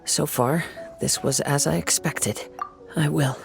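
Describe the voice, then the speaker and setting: A male actor playing a calm, principled young wandering samurai in a video game, measured and formal in speech. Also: fairly high pitch, vocal fry. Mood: calm, reassuring. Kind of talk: dramatic video game voice acting. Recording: background music under the voice.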